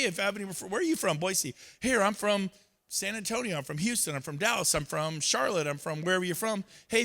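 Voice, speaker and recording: A man's voice: high-pitched, pushed and bright. A man giving a motivational talk on stage, acting out both sides of conversations with others. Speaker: real estate speaker. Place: event stage.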